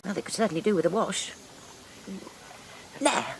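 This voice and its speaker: A woman's voice: medium pitch, normal speed, a little hiss or hum, lightly acted. An actress playing a prim, formal spinster missionary woman in a radio drama. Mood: tender.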